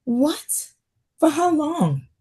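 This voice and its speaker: A woman's voice: high voice, sing-song, very slow, warm tone, full character voice. A woman playing a stressed, wary, sardonic doctor in a naturalistic table read of a drama script. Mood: exasperated.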